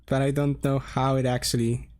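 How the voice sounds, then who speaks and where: A man from Poland with a Polish accent, talking casually as he draws on a stream, slowly thinking aloud with pauses and restarts. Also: medium pitch, flat delivery, darkish tone. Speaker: streamer and game developer. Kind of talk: casual drawing stream talk.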